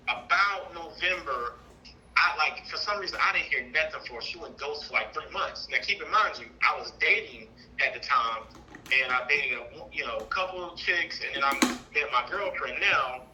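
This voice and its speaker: A man calling in to tell his personal story in a casual, colloquial, halting and roundabout way. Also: a little high, expressive, bright, forceful tone, slight background noise, low-quality recording. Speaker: caller, trucking business owner.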